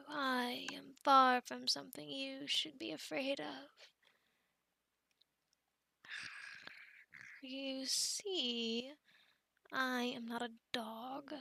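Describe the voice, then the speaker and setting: A woman playing a possessive, lovestruck female werewolf in a whispered ASMR roleplay, slow and coaxing, soothing yet menacing. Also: sits high, sharp tone, dry recording, exaggerated voice. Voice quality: breathy whisper with creaky edges.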